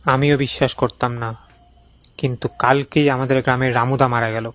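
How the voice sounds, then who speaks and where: A man's voice: medium pitch, flat delivery, fairly quick, a bit bright, natural voice. A man playing an anxious, protective young villager who warns his friend urgently and insistently in a cartoon ghost story. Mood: anxious warning.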